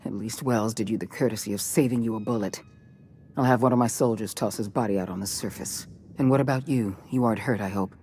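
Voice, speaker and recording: An actor playing a self-important corporate board leader in a video game, speaking with formal, grave authority. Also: male voice, on the low side, quick pace, darkish tone, a little hiss or hum, lightly acted. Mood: relieved, haughty. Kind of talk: dramatic video game acting.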